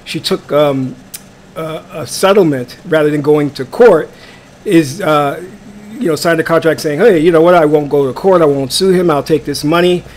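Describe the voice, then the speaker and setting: A man's voice: on the high side, a little hiss or hum. Stuffy-voiced man on a live broadcast. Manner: halting, many ums and restarts. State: stuffy from a cold. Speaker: host of a live online broadcast. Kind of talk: casual live commentary. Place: indoors, warm room. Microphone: adjustable microphone.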